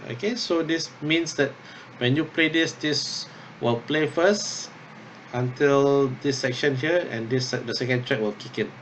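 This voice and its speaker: A man instructor talking through an unscripted tutorial step by step, thinking aloud haltingly and often checking in with okay or yeah. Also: medium pitch, slight background noise, poor audio. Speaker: drama workshop instructor.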